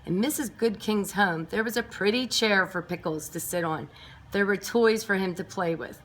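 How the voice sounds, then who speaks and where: A woman reading a picture book aloud to nursery school children, expressive and emphatic, with chatty asides. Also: mid-range voice, moderate pace. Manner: emphatic, clearly paced for young children.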